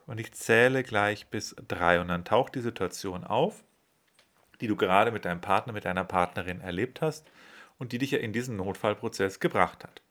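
A man leads a guided meditation with slow, calm instructions. His voice sits a little low. He speaks in a steady, soothing way.

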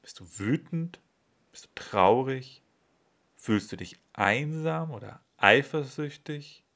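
A man leading a guided body-awareness meditation in a slow, calm, soothing way. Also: medium pitch, creaky.

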